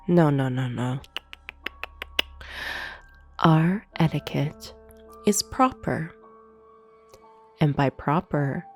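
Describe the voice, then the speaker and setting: Woman playing a slow, low-voiced teasing demon duchess. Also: heavily acted. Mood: teasing amusement. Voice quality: breathy, often dropping into whisper. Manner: slow, formal, imperious, menacing teasing. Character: haughty teasing demon duchess, sadistic banquet hostess. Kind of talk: ASMR roleplay monologue. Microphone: close binaural microphone. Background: soft piano music.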